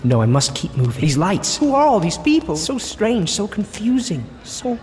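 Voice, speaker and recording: A woman's voice: mid-range voice, big pitch swings, moderate pace, noisy background, natural voice. A woman voicing a frightened young immortal boy fleeing through a city at night, thinking aloud in anxious whispers. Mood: panicked. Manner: breathless anxious inner monologue, whispering.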